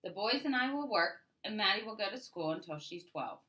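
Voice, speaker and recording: A woman's voice: medium pitch, lively intonation, a little fast, bright, forceful tone, voice pushed up, rough recording. A woman voicing a caring, hard-working mother in a children's storybook. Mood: matter-of-fact.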